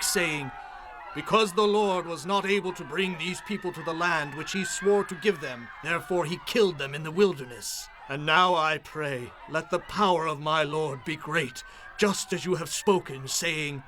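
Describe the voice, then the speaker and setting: A man playing an earnest prophet in a dramatized audio Bible, speaking in solemn, measured, imploring oratory. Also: on the high side, fairly bright tone, close to an everyday voice. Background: music.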